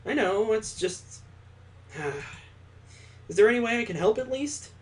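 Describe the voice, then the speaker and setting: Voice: mid-range voice, expressive, takes it slowly. A game streamer voicing a detective's worried, earnest young son in a visual novel.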